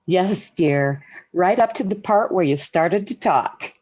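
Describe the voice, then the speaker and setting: A woman's voice: fairly low pitch, animated, slow pace. An actress playing a patient, dryly witty middle-aged wife and mother in 1940s radio sitcom style. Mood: wry. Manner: gentle, wry. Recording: dull vintage radio recording.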